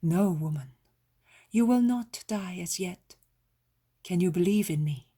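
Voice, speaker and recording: A gentle, solemn holy man, as a woman reads him, speaking slowly in a soft, breathy voice a little louder than the narration. He is calm and sure, never hurried. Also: on the low side. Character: gentle, solemn male teacher and healer.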